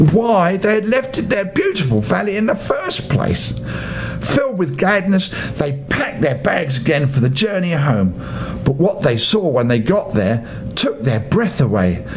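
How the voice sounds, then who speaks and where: A man's voice: sits high, slight background noise. An older man reading a children's story aloud as a grandfatherly storyteller, in a theatrical, playful way.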